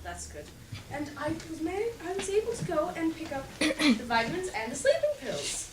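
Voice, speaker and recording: A woman's voice: sits high, wildly swooping pitch, moderate pace. A woman playing a bustling, self-assured older neighbour in a stage play, chatty and kindly bossy. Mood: chatty, fussy. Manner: chatty, fussy. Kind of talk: theatrical stage acting. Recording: echoey stage, distant mic, loud noise.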